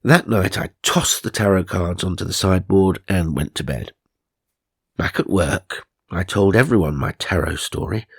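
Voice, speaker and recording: A British man with a low, gravelly voice narrates in a comic, mock-serious way and drops in sardonic asides. The sound is dry and close. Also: moderate pace, no room sound. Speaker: narrator and host. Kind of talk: comic reading of magazine stories with asides.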